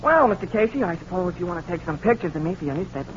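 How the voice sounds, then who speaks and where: A man's voice: sits high, expressive, very fast. An actor playing a boastful but awkward teenage boy, earnest and hesitant, in 1940s radio drama style. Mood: eager, self-important. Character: boastful awkward teenage boy, eager to impress, easily flustered. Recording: dull, noisy 1940s radio recording.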